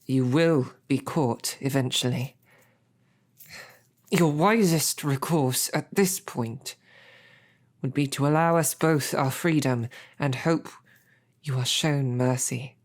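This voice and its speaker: A defiant female knight held captive in an audio roleplay, acted out by a woman. Her voice sits very low with a husky grain, and she speaks slowly. Her phrasing is courtly and loyal, cut with dry snark. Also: exaggerated voice. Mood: defiant, stern. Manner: formal, chivalrous phrasing mixed with dry sarcasm. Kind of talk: scripted audio roleplay drama.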